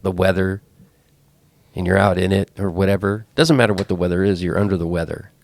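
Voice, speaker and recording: A man's voice: low voice, fairly even intonation, fairly quick. A man hosting a podcast with a head cold and a clogged, congested voice, talking casually and rambling with frequent restarts. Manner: rambling, self-correcting. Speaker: podcast host. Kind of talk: casual talk.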